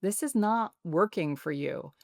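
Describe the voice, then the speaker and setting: A woman in her thirties, a guest author speaking in a calm, soothing, measured way in a reflective interview. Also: on the low side, creaky, no room sound, clean studio sound.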